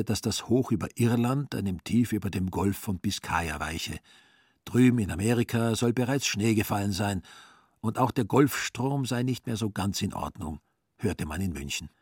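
A man's voice: lowish voice, husky, no room sound, studio quality. A man narrating a literary radio drama in scripted prose. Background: music.

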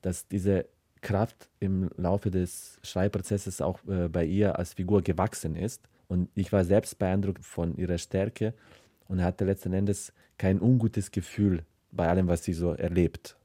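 A writer gives a reflective interview in a deep, very mellow male voice. He gropes for words and doubles back on them, recorded dry and close. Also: fairly even intonation, measured pace, dry recording. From former Yugoslavia. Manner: hesitant, searching for words, repeats words.

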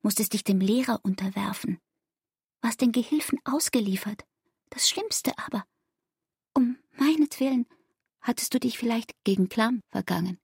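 A young barmaid in love, wounded and earnest, in a literary radio drama. Her voice is breathy and gravelly at the edges, set fairly high. She speaks with hushed intensity and measured phrasing, in a very dry studio sound. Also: no room sound, exaggerated voice. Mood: pleading, devoted.

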